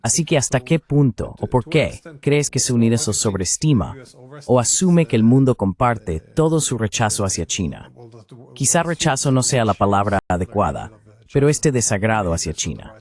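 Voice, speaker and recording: A man voicing a translated interview as the interviewer, speaking in a measured, analytical manner. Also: mid-range voice, sing-song, a little mellow.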